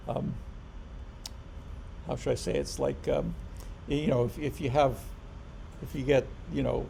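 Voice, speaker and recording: A man teaching a programming class in an informal lecture, halting and restarting often, with a dry sense of humor. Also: mid-range voice, vocal fry, mellow, slight background noise. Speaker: programming instructor.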